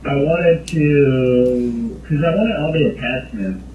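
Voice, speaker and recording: A man's voice: mid-range voice, slow pace, a little hiss or hum. A man phoning as a customer, talking informally and a bit unsure, in a rambling casual way. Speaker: car-buying customer calling in. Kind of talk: casual phone chat. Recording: muffled, echoey recorded phone call.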